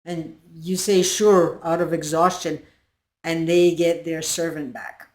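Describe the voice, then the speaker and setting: A woman's voice: low voice, level tone, measured pace, darkish tone. Congested woman host in an echoey room. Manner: earnest, emphatic, addresses viewers directly. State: congested with flu. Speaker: channel host. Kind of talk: explainer talk to viewers.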